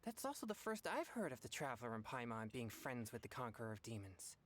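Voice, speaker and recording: A man's voice: medium pitch, very animated, brisk, fairly bright tone. Earnest, polite teenage boy. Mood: earnest, admiring. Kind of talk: game voice acting. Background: faint background music.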